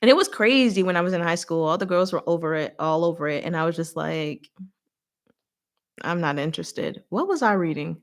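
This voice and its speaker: A woman's voice: on the low side, fairly quick. Chatty, informal woman recapping a story. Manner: animated, informal, giggly storytelling with acted-out asides. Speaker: host of a livestream book discussion. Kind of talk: casual chatty live story recap with commentary.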